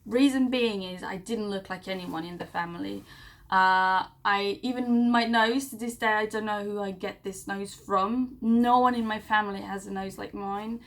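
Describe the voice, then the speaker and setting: A woman in her late twenties, born in Serbia, talks straight to the camera at a middle pitch. She rambles in a loose, chatty way, full of fillers and mid-sentence corrections. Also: fairly even intonation, normal speed. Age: 20s. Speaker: vlogger. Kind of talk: casual personal vlog.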